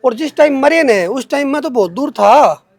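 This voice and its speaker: A young man with a Haryanvi accent speaks in a strikingly high-pitched voice. He is giving an interview in his own defence, colloquial and emphatic, often repeating himself. The sound is close and dry, with very little room in it. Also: expressive, normal speed, vocal fry, darkish tone, no echo. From Haryana, India. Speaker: interviewee answering accusations against him.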